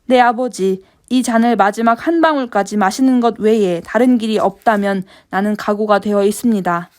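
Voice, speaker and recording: A woman reading Jesus, grave and calm. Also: mid-range voice, normal speed, close to an everyday voice. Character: Jesus, calm grown man, grave and firm. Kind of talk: scripture reading.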